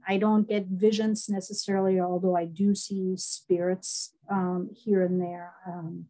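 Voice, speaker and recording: A middle-aged woman, speaking as an interview guest over a headset on a video call, telling her story warmly with many fillers. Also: fairly low pitch, little pitch movement, measured pace, poor audio. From East Germany. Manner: warm storytelling, frequent laughs and fillers. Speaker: guest, horse body-work therapist.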